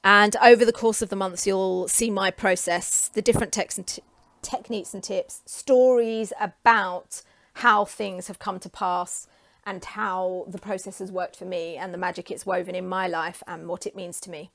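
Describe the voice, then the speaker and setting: A woman in her forties from England talks casually to camera, chatty and enthusiastic with frequent ums, her voice getting hoarse. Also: mid-range voice, fairly even intonation, moderate pace, fairly bright tone, no room sound. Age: 40s. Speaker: creative coach and vlogger.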